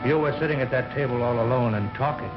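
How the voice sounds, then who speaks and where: An actor playing a skeptical, exasperated but protective police chief in an old radio thriller, speaking bluntly. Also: male voice, medium pitch, fast talker, over loud music, natural voice. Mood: disbelieving. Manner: blunt, reasoning. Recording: dull old radio recording.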